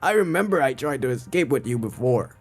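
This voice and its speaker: A man streaming a visual novel, reading dialogue aloud and reacting in a theatrical, halting way. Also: mid-range voice, animated, breathy edge, faint music behind. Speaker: gaming streamer.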